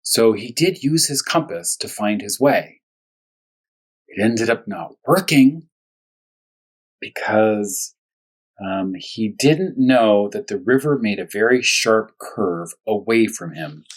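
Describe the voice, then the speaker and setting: Husky, lowish-voiced man teaching young children. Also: lively intonation, slow pace, low-quality recording. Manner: simple short phrases with repetition for children. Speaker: first grade teacher reading aloud. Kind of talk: storytime read-aloud and discussion for young children.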